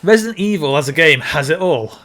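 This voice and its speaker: Movie podcast guy, bright voice a bit on the high side, opinionated, chatty, repeats himself to make a point. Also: animated, brisk. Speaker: podcast co-host. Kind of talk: casual film discussion.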